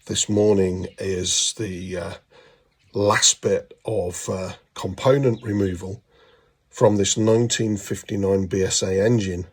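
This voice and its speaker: Gravelly man, congested with a cold. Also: fairly low pitch, a little mellow. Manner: halting, explanatory. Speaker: hobbyist mechanic narrating a video. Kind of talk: casual how-to narration. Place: home garage.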